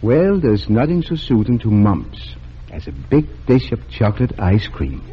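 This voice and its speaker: An actor playing a kindly middle-aged town doctor in a 1930s radio drama, speaking in a gentle, reassuring way. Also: male voice, on the low side, wildly swooping pitch, a little slow, background noise. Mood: calm. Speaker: doctor character. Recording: dull lo-fi old radio recording.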